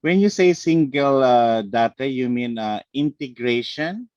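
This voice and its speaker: A man with a mid-range voice who speaks very slowly and deliberately, explaining step by step to an online panel. The audio is dry and a bit muffled, as from a lo-fi video call. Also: mid-range voice, darkish tone, no room sound. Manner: measured, deliberate, tags points with "okay". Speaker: panel expert.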